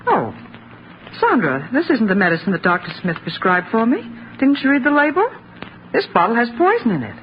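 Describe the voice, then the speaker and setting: A woman playing a polite, gently wary mother, genteel and careful, in 1940s radio drama style. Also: a little high, sing-song, a little fast. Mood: controlled, probing. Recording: muffled, noisy 1940s radio recording.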